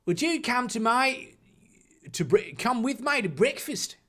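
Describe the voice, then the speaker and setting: A man's voice: extremely high pitch, sing-song, measured pace, bright, forceful tone, voice pushed up, exaggerated voice. A friendly, slyly pious schoolboy played by a man from a comic story reading. He speaks politely in a raised voice, with a wobbly Australian accent that drifts into Cockney. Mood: polite, inviting. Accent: put-on Australian slipping toward Cockney.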